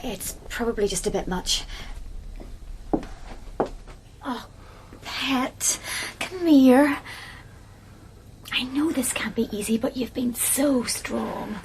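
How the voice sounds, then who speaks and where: A woman's voice: fairly high pitch, slow, gravelly, background noise. An actress playing a fussing, loving young Irish woman who cares for her partner, in a naturalistic radio drama. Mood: tender, uneasy. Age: young adult.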